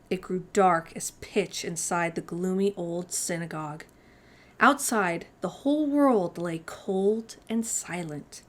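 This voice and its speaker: Slow-paced woman reading a storybook. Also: fairly low pitch. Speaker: children's storytime host. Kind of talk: dramatic storybook read-aloud for young children.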